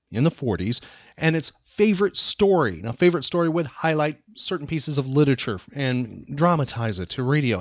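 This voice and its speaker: A man hosting a podcast in casual chat, rambling and pausing for emphasis. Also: fairly high pitch, dry recording, lo-fi sound. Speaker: podcast host.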